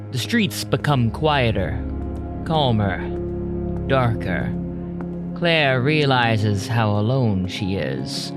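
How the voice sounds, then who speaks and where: A man narrating an audio drama in a deliberate, atmospheric, scripted storytelling style. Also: lowish voice. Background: music.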